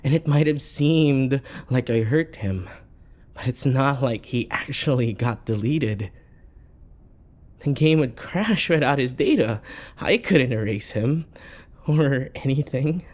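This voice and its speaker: A man playing a possessive, obsessive dating-game character in an intimate ASMR roleplay, softly coaxing with endearments, sighs and whispers. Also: medium pitch, animated, slow. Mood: soothing, sinister.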